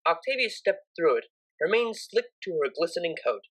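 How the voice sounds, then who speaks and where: A woman's voice: lowish voice, big pitch swings, normal speed, poor audio. A woman narrating a scripted story expressively, as an audiobook reader.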